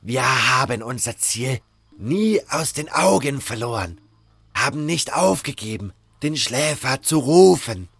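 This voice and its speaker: A man playing a solemn cult guru preaching his faith in a fantasy audio drama, with a gravelly, husky voice and measured delivery. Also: mid-range voice, animated, dry recording, heavily acted. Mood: solemn, devout.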